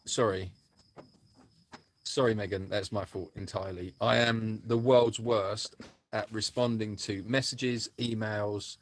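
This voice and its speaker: A man's voice: lowish voice, fairly even intonation, unhurried. A man co-hosting a casual livestream chat, telling a rambling anecdote and thanking viewers by name. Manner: rambling anecdotal storytelling with restarts. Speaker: sanctuary co-host.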